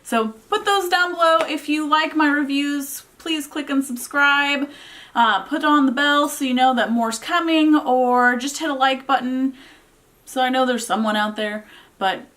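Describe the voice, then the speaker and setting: A woman's voice: high voice, steady tone, measured pace, a bit bright. Casual woman chatting through a review. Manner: conversational, informal, thinking aloud. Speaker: book reviewer, vlog host. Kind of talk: casual unscripted review talk to viewers.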